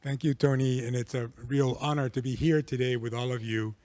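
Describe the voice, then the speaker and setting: A man's voice: medium pitch, steady tone, a little mellow, no room sound. Earnest man from Peru at a panel. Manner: earnest, measured, with frequent um fillers. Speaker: panel speaker, civil society network chair. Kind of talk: conference panel remarks. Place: conference hall.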